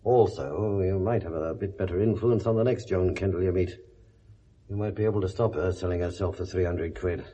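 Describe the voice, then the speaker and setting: A world-weary British inspector in an old radio drama. He lectures bluntly in a deep, husky voice with slangy sarcasm and a kindly core. The recording is dull and dated. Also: a little fast, mellow. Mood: gruff, consoling.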